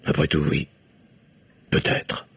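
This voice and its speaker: An actor playing a skeptical lawyer father, older, measured and dryly ironic, in 1950s French radio drama style. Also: no echo, natural voice. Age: middle-aged or older. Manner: measured, sardonic. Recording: dull, dry vintage radio recording.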